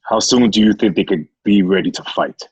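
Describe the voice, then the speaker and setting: An actor playing a battle-hardened, resolute Roman general turned gladiator, in a radio-drama read. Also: male voice, fairly low pitch, fairly quick, slightly rough, dry recording, lo-fi sound. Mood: guarded, purposeful. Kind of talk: online table-read radio drama acting.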